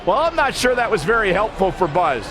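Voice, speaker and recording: An actor playing a dramatic, excitable wrestling commentator in a video game. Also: male voice, high-pitched, fairly quick, noisy background. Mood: concerned.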